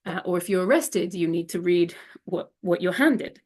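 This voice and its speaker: A woman's voice: on the low side, animated, normal speed, a little mellow, husky. Hesitant woman presenting slides. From Israel. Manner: hesitant, many fillers and restarts.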